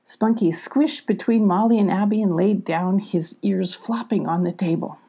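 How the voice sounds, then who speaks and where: An older woman reads a children's story with expression. Her voice is mellow and husky, with a creaky grain, and sits at a middle pitch. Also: moderate pace. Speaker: storybook reader.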